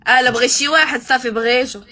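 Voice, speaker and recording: A woman's voice: high voice, fairly even intonation, very fast, bright, forceful tone. A Moroccan woman in her twenties, a caller on a matchmaking live stream, answering shyly and briefly. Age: 20s. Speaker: caller seeking a husband.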